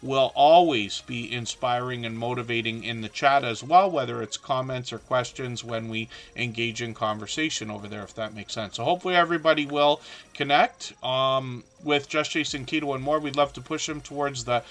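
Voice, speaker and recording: A man's voice: medium pitch, normal speed, a bit bright. Guy on a livestream, chatty and encouraging, lots of ums, scratchy tired voice. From southern Ontario, Canada. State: scratchy, worn voice. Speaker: live stream host. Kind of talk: casual live stream chat.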